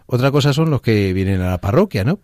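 A man's voice: mid-range voice, animated, fast talker, a little mellow, no room sound, clean studio sound. A man, a parish priest, explaining plainly and easily as a guest in a radio interview. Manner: plain, easygoing explaining with fillers.

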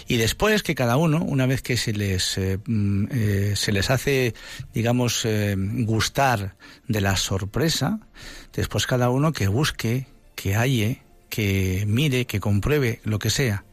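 A man's voice: medium pitch, dry recording. A man hosting a religious radio call-in show, taking callers and talking in an earnest, preachy way with many fillers. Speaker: radio host.

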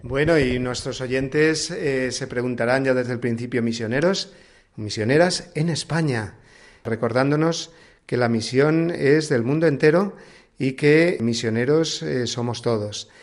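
Spanish man hosting a Sunday radio show. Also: medium pitch. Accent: Spain Spanish. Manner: measured, warm welcoming tone with frequent "eh" fillers. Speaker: radio host.

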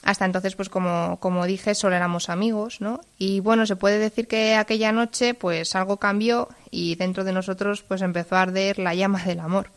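Woman, late 20s, guest testimony, gentle halting delivery. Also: mid-range voice, level tone. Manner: gentle and halting, many fillers. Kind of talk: personal testimony.

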